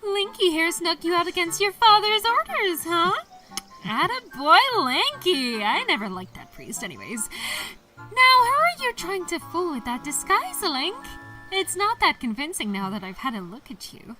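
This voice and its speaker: Super bright squeaky scholar girl, teasing and playful, audio drama, bit far from mic. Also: very animated, normal speed, soft background music, exaggerated voice. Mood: teasing, suspicious. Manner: exclamatory, playful, theatrical. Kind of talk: fan audio drama acting.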